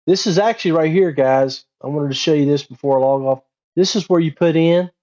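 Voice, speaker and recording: Mellow-voiced man on dull lo-fi audio. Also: fairly high pitch, fairly quick. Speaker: presenter. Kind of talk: casual overview narration.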